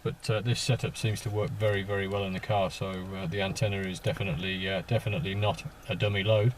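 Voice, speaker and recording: A man chatting as an amateur radio operator in a halting way, repeating words as he goes and using call signs. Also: deep voice, flat delivery, a little hiss or hum. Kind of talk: casual radio contact chat with call signs. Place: inside a parked vehicle.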